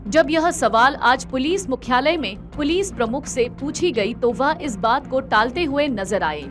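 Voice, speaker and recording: A woman reading scripted news narration as a reporter. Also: high voice.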